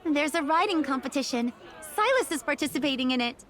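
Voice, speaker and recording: A woman's voice: high voice, expressive, brisk, fairly bright tone. A woman playing a cheerful young tribeswoman in a game, talking in a friendly, casual way. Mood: pleased. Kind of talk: game character dialogue. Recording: music behind the voice.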